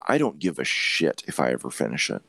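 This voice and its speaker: A man's voice: rumbling low, very animated, fairly quick, gravelly, a bit bright, no echo. A man hosting a podcast, discussing films casually in an emphatic, opinionated way and swearing freely. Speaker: podcast host.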